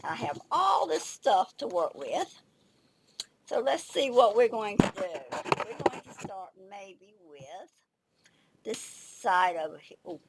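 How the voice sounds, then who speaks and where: An older woman with a slight head cold and a raspy, gravelly voice, chatting casually and thinking aloud as she demonstrates a craft project. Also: medium pitch, slight background noise, low-quality recording. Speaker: craft video host.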